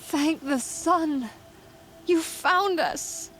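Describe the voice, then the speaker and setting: A slow-spoken, emotional wife. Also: female voice, sits high, a bit bright, soft background music, full character voice. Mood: relieved. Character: long-suffering wife, emotional, forgiving yet knowing. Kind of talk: video game voice acting.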